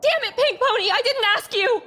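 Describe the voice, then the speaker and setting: Woman acting a high-voiced, outspoken young pony. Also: a little fast, bright, forceful tone. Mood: agitated. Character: young filly wasteland survivor, outspoken and troubled. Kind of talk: audio drama character acting.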